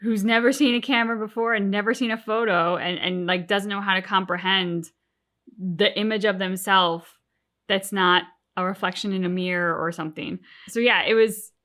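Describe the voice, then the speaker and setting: A woman's voice: medium pitch, fairly even intonation, normal speed, fairly bright tone. Woman chatting casually in game commentary. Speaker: reaction show co-host.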